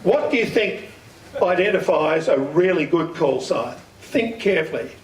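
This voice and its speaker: Older male lecturer, deliberate and questioning, slightly raised pitch, recorded at a distance from the microphone. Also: expressive, crowd noise. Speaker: speaker giving an amateur radio talk. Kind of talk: instructional lecture with questions to the audience. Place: community centre meeting room. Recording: echoey hall, distant microphone.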